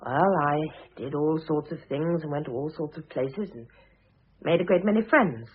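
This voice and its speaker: An actress playing a composed, wry, middle-aged divorced wife in a vintage radio drama, speaking with dry, pointed self-possession. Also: female voice, low-pitched, normal speed, breathy edge. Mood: earnest, proud. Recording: muffled, narrow-band old radio recording.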